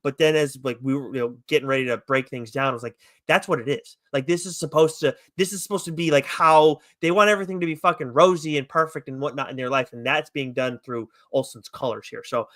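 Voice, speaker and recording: A man's voice: mid-range voice, fairly even intonation, fast talker, hardly any pauses, fairly bright tone, dry recording, clean studio sound. A man co-hosting a podcast, chatting casually about comics in a rambling, enthusiastic, loosely profane way. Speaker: podcast co-host reviewing comics. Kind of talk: casual chat review.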